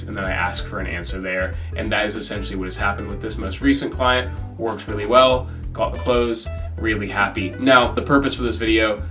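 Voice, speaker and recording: A man's voice: lowish voice, fairly bright tone, far from the mic. A young man with an American accent, casually explaining his freelance sales process in a candid vlog. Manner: candid and conversational, with self-corrections and quick quoted asides. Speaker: freelance email marketer. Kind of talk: casual talking-head vlog.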